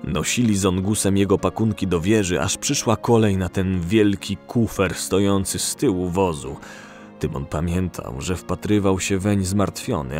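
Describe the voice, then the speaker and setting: A man's voice: low-pitched, a little mellow. A man narrating a story in a scripted storytelling style for an audio drama. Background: music.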